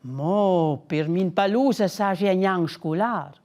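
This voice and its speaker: A woman playing a helpful, scheming male fox in a children's storybook, musing in a drawn-out way. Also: lowish voice, a little mellow. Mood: curious.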